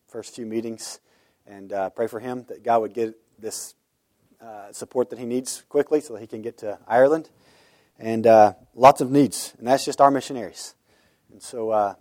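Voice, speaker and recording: A man's voice: lowish voice, dark tone, no room sound. A man pastor giving informal church announcements and prayer requests, earnest and full of "uh" fillers. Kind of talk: church announcements before sermon. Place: church sanctuary.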